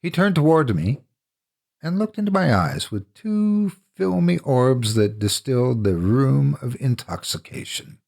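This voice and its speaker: Slow, gravelly male horror narrator. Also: medium pitch, very animated, darkish tone. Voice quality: gravelly, husky. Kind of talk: dramatic scripted narration.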